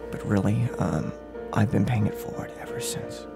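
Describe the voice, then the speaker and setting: A man in his twenties playing a soft-spoken, unhinged serial killer in a menacing, mock-polite ASMR role play, close to the microphone. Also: on the low side, wildly swooping pitch, moderate pace, creaky, loud music behind the voice, heavily acted. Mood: quiet menace. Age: 20s. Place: room at home. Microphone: close desk microphone on boom arm.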